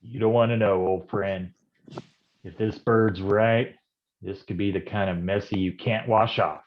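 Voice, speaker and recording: A man's voice: fairly low pitch, fairly even intonation, measured pace, muffled recording, natural voice. An actor playing a wisecracking, down-at-heel noir private detective in a stage reading, with slangy tough-guy talk. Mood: guarded. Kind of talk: noir stage acting with narrated monologue.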